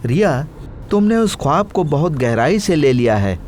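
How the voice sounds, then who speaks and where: A man's voice: on the high side, very animated, moderate pace, a little mellow, slight background noise. A man voicing a sceptical, rational husband in a horror story, dismissive and matter-of-fact. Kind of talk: audio horror story acting.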